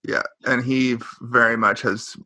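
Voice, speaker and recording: Podcast co-host, man, casual sitcom recap; bright, tense tone; rambles and self-corrects, sarcastic. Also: mid-range voice, fairly even intonation, moderate pace, no room sound.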